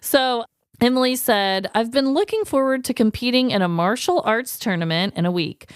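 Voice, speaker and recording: A woman's voice: fairly high pitch, lively intonation, moderate pace, clean tone, no echo, studio quality. A woman with an American accent co-hosting a podcast in casual, chatty, effusive talk. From American Midwest. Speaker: podcast co-host. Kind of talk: casual chat.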